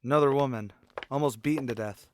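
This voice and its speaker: An actor plays a gruff, dogged police detective in a radio crime drama. His medium-pitched voice is terse and hard-boiled, with noticeable noise on the recording. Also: quick pace, natural voice. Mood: urgent. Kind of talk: old-time radio police drama dialogue.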